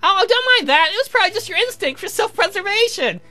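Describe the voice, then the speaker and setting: A man's voice: extremely high pitch, expressive, fast, bright, forceful tone, soft background music, voice pushed up, exaggerated voice. A man voicing a gleeful, creepy, eccentric old uncle in a horror comedy visual novel.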